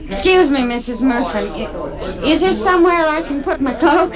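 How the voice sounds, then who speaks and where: An actress playing a nervous, softly hopeful saloon woman in an old Western radio drama, hesitant and polite. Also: high-pitched, very animated, moderate pace. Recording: old radio recording, crowd murmur behind.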